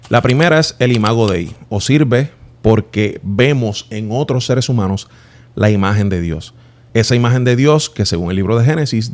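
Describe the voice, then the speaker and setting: A Puerto Rican man in his thirties with a mid-range voice talks straight to the viewer. He explains things in an earnest, personal way, like someone reflecting out loud for his channel. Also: mid-range voice. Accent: Puerto Rican Spanish. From Puerto Rico.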